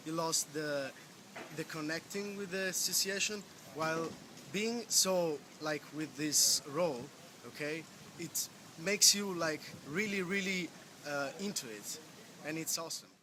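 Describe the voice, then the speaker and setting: Casting: young Italian man, raised pitch, informal halting interviewee, clear ambient noise. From Italy. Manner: halting, peppered with "like". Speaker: youth organization representative. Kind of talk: casual interview answer.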